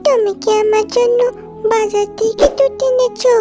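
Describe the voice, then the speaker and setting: A woman's voice: very high voice, steady tone, normal speed, dark tone. A woman voicing a sweet, eager little fox child in a cartoon, childlike and coaxing. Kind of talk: cartoon voice acting. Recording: children's music behind the voice.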